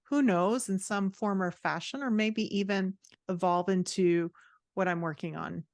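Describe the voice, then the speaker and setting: A woman's voice: mid-range voice, a little slow, darkish tone, dry recording, clean studio sound. A woman speaking as a guest author and writing coach in a casual podcast conversation.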